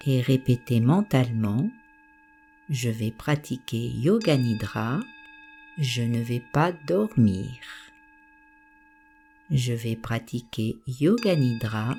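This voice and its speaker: A woman guiding a meditation, giving gentle, soothing and unhurried instructions. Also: rumbling low, a little hiss or hum. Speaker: meditation guide. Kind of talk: guided meditation narration.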